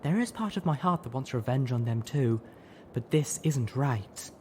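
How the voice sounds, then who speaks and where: A man voicing a gentle, cautious, refined mare with a soft, slightly raspy voice in an audio drama. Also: medium pitch, expressive, normal speed, slight background noise, voice pushed up, natural voice. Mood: pleading.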